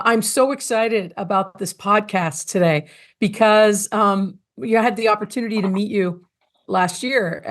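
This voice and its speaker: A woman hosting a podcast, interviewing in a conversational, effusive manner. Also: medium pitch. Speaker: podcast host.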